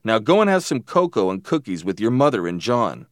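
A man's voice: lowish voice, big pitch swings, a little fast, no echo, clean studio sound. A man voicing a stern but not very angry father in a story, mildly scolding. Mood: firm.

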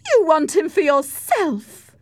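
A woman's voice: high-pitched, sing-song, slow, no echo, voice pushed up, heavily acted. A woman voicing a naive, infatuated eighteen-year-old young lady in an audiobook, petulant and emphatic. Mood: indignant. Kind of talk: audiobook character voice.

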